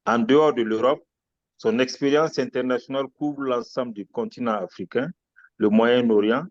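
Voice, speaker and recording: A man from Guinea, moderating a webinar and reading out the panelists' introductions in a measured, formal way with wry asides. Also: medium pitch, dry recording, highs cut off.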